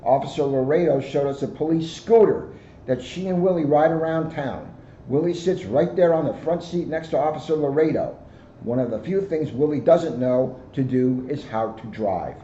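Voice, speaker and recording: A police officer, a man, reading a storybook aloud to children in an expressive, deliberate way. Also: on the high side, muffled recording. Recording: roomy, band-limited, faint background noise.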